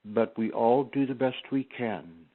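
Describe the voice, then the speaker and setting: A man reading his own poem in a measured, reflective way, on a tinny, narrow-sounding recording. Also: mid-range voice. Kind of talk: scripted poetry recitation.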